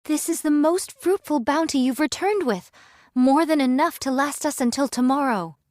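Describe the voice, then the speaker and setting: A woman's voice: sits high, normal speed, darkish tone, no echo, close to an everyday voice. An actress playing an eccentric, solemn young female android in an anime game, with theatrical, archaic and formal diction. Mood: pleased, grand.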